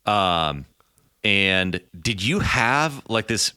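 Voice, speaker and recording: A man co-hosting a podcast, asking curious, halting questions. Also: lowish voice, animated, sharp tone, clean tone, no echo, studio quality. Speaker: podcast co-host. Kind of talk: casual interview questions.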